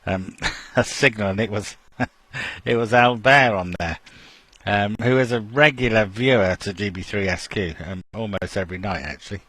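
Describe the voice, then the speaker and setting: A man hosts an amateur radio net in a fairly low voice. He chats casually and laughs often between remarks. The sound is close and dry. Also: normal speed, pushed and bright, no echo, rough recording. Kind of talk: casual over-the-air chat.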